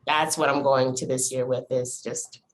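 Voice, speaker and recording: A woman's voice: rumbling low, flat delivery, normal speed, a little hiss or hum. A woman hosting a podcast, talking in a casual, reflective way with lots of "like" and "you know" as she thinks aloud. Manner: thoughtful, searching, filler-heavy with 'like' and 'you know'. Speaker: podcast host.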